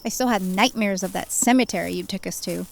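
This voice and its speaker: A woman's voice: mid-range voice, brisk, gravelly, natural voice. A woman playing a wary, sceptical, easily exasperated young friend in a horror audio drama. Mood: exasperated, nervous.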